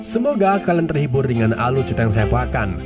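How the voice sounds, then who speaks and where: A man's voice: medium pitch, very animated, quick pace, music in the background. A man narrating a film recap in a casual scripted style. His voice is stuffy and nasal from a cold.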